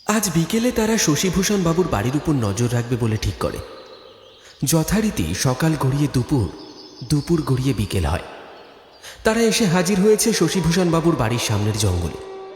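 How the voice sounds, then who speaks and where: Male narrator, dramatic horror storytelling, slightly high animated voice, reverb, music behind. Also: normal speed.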